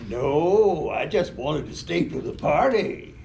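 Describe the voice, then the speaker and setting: A man's voice: a little high, expressive, slow pace, vocal fry, a crowd in the background, heavily acted. A man reading the part of a cocky, sarcastic young car owner in an amateur play. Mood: sarcastic, breezy. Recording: echoey room with faint noise.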